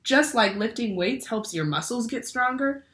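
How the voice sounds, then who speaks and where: A woman's voice: fairly high pitch, lively intonation, normal speed, fairly bright tone. A young woman reading a children's picture book aloud like a teacher, expressively, asking the listeners questions. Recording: roomy indoor echo.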